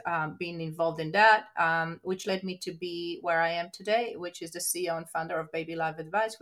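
A woman, a nurse practitioner answering interview questions eagerly, often pausing on um and uh. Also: lowish voice, a bit bright. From Israel. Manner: eager, fills pauses with um and uh.

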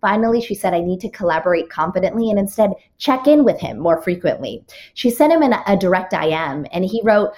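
A woman author speaks as a podcast guest. She is methodical and names each principle before illustrating it with a story. Her pitch sits in the middle range and her phrasing is clear, with occasional restarts. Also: animated, brisk, a little mellow. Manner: structured, explains with examples and numbered rules. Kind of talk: podcast interview answers.

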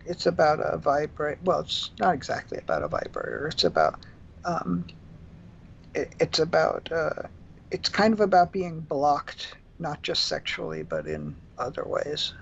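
A man who is a musician guest on a radio show, answering haltingly with many ums. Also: fairly high pitch, huge pitch swings, creaky. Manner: hesitant, halting, full of ums. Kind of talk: casual radio interview answers. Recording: dull lo-fi line with faint noise.

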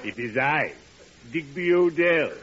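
Loud hiss and a muffled old radio sound surround a genteel undertaker. He speaks slowly and formally, with grand, mournful theatricality. The delivery belongs to 1940s radio comedy. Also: on the high side, animated. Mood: genteel, morbid pride. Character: friendly, morbidly genteel undertaker, solemn and theatrical. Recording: noisy, dull old radio recording.

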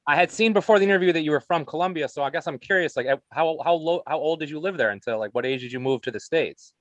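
A man's voice: on the high side, quick pace, no room sound. A man hosting an interview, asking questions in a hesitant way full of "um" and "like". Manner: hesitant, filler-heavy with many "um" and "like". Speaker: podcast interviewer. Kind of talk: casual interview chat.

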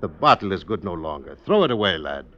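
A man's voice: low-pitched, big pitch swings, quick pace. A man playing a scheming, coaxing stonemason with folksy old-country speech in an old radio drama. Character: scheming male stonemason, sly, coaxing poisoner. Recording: muffled, noisy vintage radio recording.

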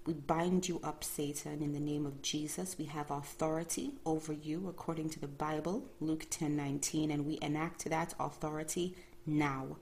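Low-voiced woman hosting a faith podcast. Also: fairly even intonation, normal speed, darkish tone, a little hiss or hum. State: early-morning voice, a bit calmer than usual. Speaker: podcast host.

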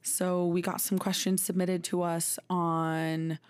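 A woman's voice: lowish voice, little pitch movement, takes it slowly, darkish tone, smooth. A woman in her twenties from Texas, co-hosting a podcast in casual chat and laughing often. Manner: giggly, chatty, laughs often. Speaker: podcast co-host.

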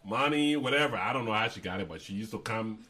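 A man's voice: on the low side, expressive, fast, bright, forceful tone. A man, a guest telling stories about growing up in a loose, joking way with laughs between them. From Liberia. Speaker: guest, host's father. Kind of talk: casual storytelling chat.

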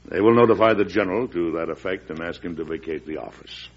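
An actor playing a beleaguered, resolute middle-aged American president in a classic radio drama, speaking formally and earnestly with an American accent. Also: male voice, low voice, fast talker, a little hiss or hum, close to an everyday voice. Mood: grave, hopeful. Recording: muffled old radio recording.

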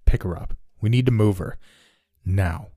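A man's voice: on the low side, a little slow, mellow, no room sound, studio quality. An actor playing a menacing young male kidnapper who snarls curt, profane orders. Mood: commanding.

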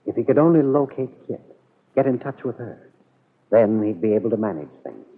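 A man with a husky voice giving dramatic scripted narration for a 1940s radio serial. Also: medium pitch, expressive, brisk. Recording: muffled old radio broadcast.

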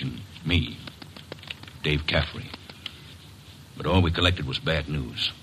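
An actor playing a burly, vengeful man who speaks bluntly and gruffly in a 1940s radio drama. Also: low voice, a bit bright, a little hiss or hum, rough recording. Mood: brooding. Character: big burly bearded man, grim and vengeful.

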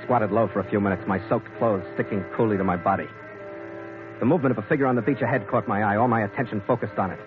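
A man narrates an old radio adventure in first person, speaking in terse, tense sentences in a lowish voice. The broadcast sounds thin and tinny, with music behind him. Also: fast. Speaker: narrator and ship skipper lead.

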